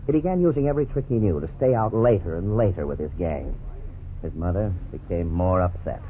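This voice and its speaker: A man narrating a 1950s radio drama in a measured, grave manner. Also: deep voice. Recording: tinny old radio broadcast, faint hiss.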